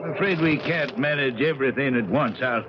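An actor playing an eccentric, elderly hermit uncle in a 1970s radio drama, genial but secretive, with a folksy, halting manner. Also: male voice, mid-range voice, natural voice. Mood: coaxing. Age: older. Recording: muffled, crackling 1970s radio recording.

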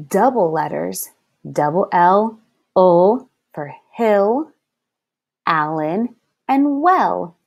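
Slow-paced woman teaching young children. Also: on the low side. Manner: deliberate, clearly enunciated, instructive. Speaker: first-grade teacher. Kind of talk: read-aloud lesson for young children.

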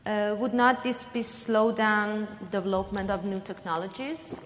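Young woman interviewer, reads questions a bit haltingly, mid pitch, conference hall. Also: fairly even intonation, slight background noise.

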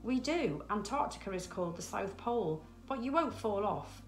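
A woman's voice: medium pitch, very animated, normal speed, soft background music, natural voice. Storybook father penguin, female reader, grown-up male, caring, firm, reassuring, roomy sound. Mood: patient.

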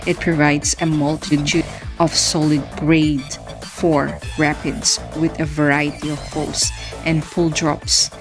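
Woman, very low voice, even read narration, small slips, faint music behind. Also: takes it slowly.

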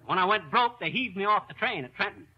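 A man's voice: high voice, expressive, quick pace. A man playing a boastful, muddled teller of tall tales in a 1930s radio comedy, rambling and correcting himself as he goes. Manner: rambling, self-correcting bluster. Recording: noisy, crackly, dull 1930s radio recording.

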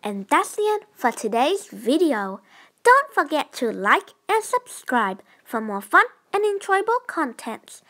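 A woman's voice: high voice, sing-song, a little slow, fairly bright tone. A woman hosting a children's read-aloud, narrating picture books expressively.

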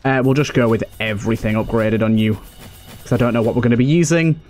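A man's voice: medium pitch, fast, darkish tone. A man giving casual, chatty let's play commentary, thinking aloud as he hosts. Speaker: gaming host.

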